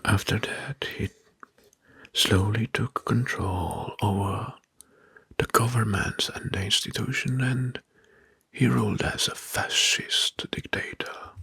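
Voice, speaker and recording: A man narrating in a whispered ASMR style, slow and deliberate with a breathy voice, close to the mic. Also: lowish voice, fairly even intonation, dry recording. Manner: slow, deliberate, repeats words softly. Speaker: host of ASMR history video. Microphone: close mic, dry.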